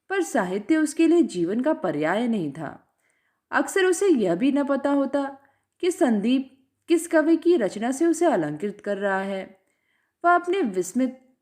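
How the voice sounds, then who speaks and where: A woman reading a literary short story aloud in a measured, expressive way, her voice dropping at the end of phrases. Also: high-pitched.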